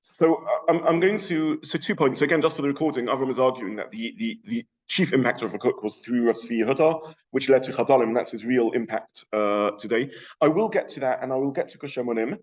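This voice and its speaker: A man's voice: mid-range voice, a little fast. A middle-aged man with a London accent giving an informal lecture, thinking aloud with frequent restarts. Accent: London English. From England.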